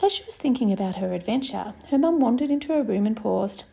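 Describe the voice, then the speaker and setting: A woman's voice: on the high side, lively intonation, fast, gravelly, dark tone, rough recording. A woman presenting story time, expressively reading a picture book aloud and speaking directly to young listeners. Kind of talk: read-aloud picture book narration for children.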